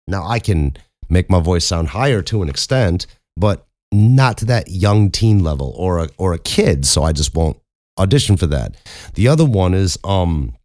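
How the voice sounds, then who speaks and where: A young man hosting a casual Q and A, with a very low speaking voice, rambling and self-mocking and swearing casually. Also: sing-song, normal speed, a little mellow, no room sound, clean studio sound. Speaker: podcast host and voice actor. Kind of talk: casual Q and A chat.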